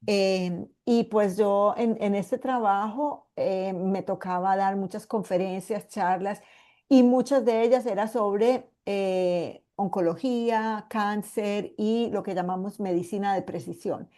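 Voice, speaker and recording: A woman in her fifties with a Colombian Paisa accent, speaking with a mellow, warm tone over a video call microphone. She explains things patiently as a guest speaker and often pauses to find the right words. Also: mid-range voice. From Colombia (Medellín). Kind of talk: online presentation to a support group.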